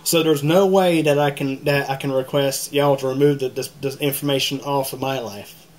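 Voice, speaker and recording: A man's voice: mid-range voice, fairly even intonation, moderate pace, a little mellow. A man talking casually and informally, often hesitating and repeating his words. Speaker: YouTube channel host, caller to customer service. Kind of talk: casual phone call and commentary to viewers.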